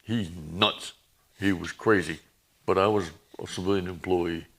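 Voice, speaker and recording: A man in his eighties, a veteran guest reminiscing in plain-spoken, halting, folksy talk. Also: low-pitched, steady tone, a bit bright. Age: 80s. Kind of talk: reminiscing in a conversation.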